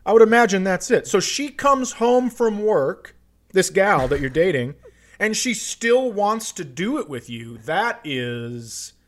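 Blunt, higher-voiced man bantering on a podcast. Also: sing-song, unhurried. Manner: blunt, teasing, profane. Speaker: comedian and podcast host. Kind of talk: casual comedy podcast banter.

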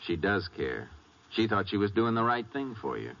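An actor playing a calm Texas Ranger in a radio western, plain-spoken and measured, with an American accent. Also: male voice, fairly low pitch. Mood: calm, reassuring. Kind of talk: 1950s radio western acting. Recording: muffled, very noisy 1950s radio recording.

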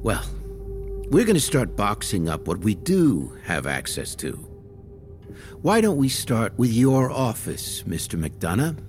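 Pushy investigator: low male voice, lively inflection, blunt, sceptical, official. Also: takes it slowly, soft background music, exaggerated voice. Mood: insistent. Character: insistent, sceptical male federal agent. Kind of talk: naturalistic audio drama acting.

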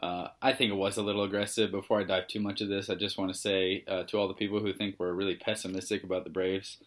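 Young male podcast co-host, very deep voice, monotone and easygoing, loose chatty delivery. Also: fast talker. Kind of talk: casual sports chat.

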